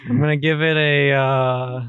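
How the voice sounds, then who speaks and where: A man's voice: medium pitch, flat delivery, measured pace, clear, resonant tone, no echo, narrow, tinny audio. A young man co-hosting a podcast, joking and hesitating, with frequent swearing. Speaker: podcast co-host. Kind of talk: casual chat.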